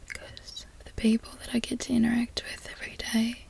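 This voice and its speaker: A woman with an Australian accent, an ASMR creator softly whispering repeated trigger words. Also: fairly high pitch, monotone, a little fast, vocal fry.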